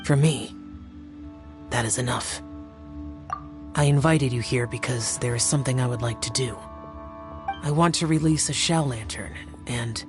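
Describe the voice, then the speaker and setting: An actor playing a solitary, courteous young warrior in a video game, humble and soft-spoken, with a formal, measured manner. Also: male voice, mid-range voice, natural voice. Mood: serene, wistful.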